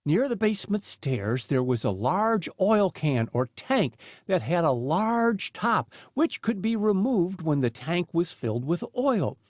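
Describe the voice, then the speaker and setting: A man reading children's animal stories aloud as an audiobook, in a measured, deliberate storybook delivery. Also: high-pitched, no room sound. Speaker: audiobook reader. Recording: dull, dry lo-fi recording.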